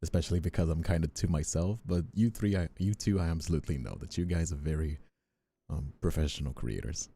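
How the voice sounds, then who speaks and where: Very low, creaky young man, rambling casually. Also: fast talker, mellow. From Brazil. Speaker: ASMR voice actor and streamer. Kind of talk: casual chat.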